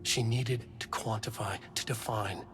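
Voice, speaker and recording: A man playing a brooding narrator, reading dark noir prose in grave, deliberate, short dramatic phrases. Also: fairly low pitch, fairly even intonation, noisy background, natural voice. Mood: ominous. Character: brooding male narrator of dark manuscript pages.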